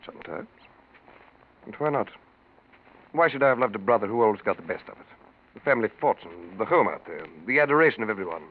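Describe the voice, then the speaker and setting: A bitter, possessive man in love in a vintage radio melodrama. His intense delivery swings in pitch, through a narrow, thin old recording. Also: lively intonation, fast, pauses often. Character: bitter, possessive, lovesick brother-in-law. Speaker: actor. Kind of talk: 1940s radio acting. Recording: tinny, noisy 1940s radio recording.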